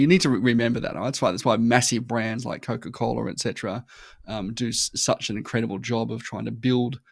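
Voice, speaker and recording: Gravelly Australian man, chatty podcast guest. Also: medium pitch, no room sound, studio quality. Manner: animated storytelling, acts out customer voices. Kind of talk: conversational interview.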